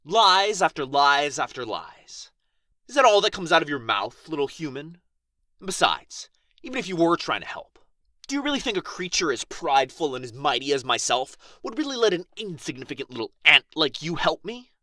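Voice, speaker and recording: Man voicing a haughty, theatrical dragon, dramatically animated. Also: a little high, moderate pace, no echo. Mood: scornful, haughty. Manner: pompous, theatrical, archly formal phrasing. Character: proud, haughty injured male dragon, grudgingly grateful, teasingly possessive. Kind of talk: boyfriend ASMR fantasy roleplay acting.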